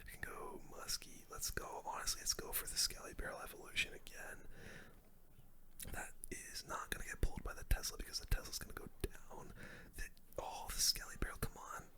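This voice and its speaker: A person whispering casual, slangy play-by-play as an ASMR gaming host, in a breathy unvoiced whisper close to the microphone. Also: fairly quick. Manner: casual slangy play-by-play, muttering through moves.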